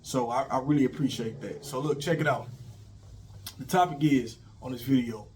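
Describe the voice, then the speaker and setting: Man, middle-aged, a bit raspy from a cold, casual advice talk, loose and rambling. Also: medium pitch, moderate pace, a little mellow, a little hiss or hum. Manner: rambling, informal, many fillers and restarts. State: sick, voice a little raspy. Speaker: YouTube host.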